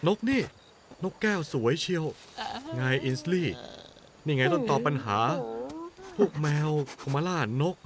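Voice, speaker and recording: กะลาสีหนุ่มร่าเริงตื่นเต้นง่าย. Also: male voice, fairly high pitch, a little slow, pauses often, crowd noise. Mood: gentle. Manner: excitable, bursts into cheers and laughs. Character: castaway sailor, young adult man, hopeful and kind. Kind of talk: Thai cartoon dub. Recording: dub with loud music.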